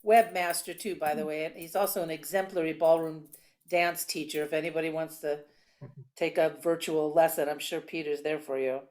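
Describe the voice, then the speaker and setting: A woman's voice: deep voice, fairly even intonation, normal speed, a little mellow. A chatty, effusive woman from a theatre company talking casually on a video call. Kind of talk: casual chat. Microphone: video call microphone.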